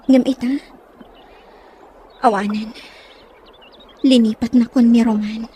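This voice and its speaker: A woman playing a gentle, wistful young provincial woman in an Ilocano radio drama, with a Filipino Ilocano accent. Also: fairly high pitch, fairly even intonation, slow pace, a little hiss or hum. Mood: nostalgic, sad. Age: young adult.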